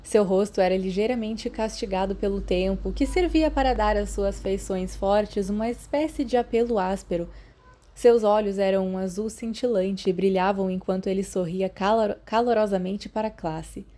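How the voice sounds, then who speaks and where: A woman's voice: medium pitch, moderate pace, mellow. A woman with a Brazilian accent reading scripted fiction as an audiobook narrator.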